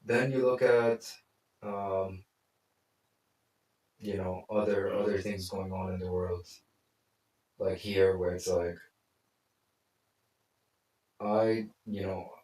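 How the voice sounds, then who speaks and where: A man's voice: low voice, fairly even intonation, takes it slowly, pauses often, a little mellow. A man from Lebanon, a consultant, chatting casually and rambling with lots of fillers and restarts. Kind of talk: casual conversation. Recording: echoey room, mic far off.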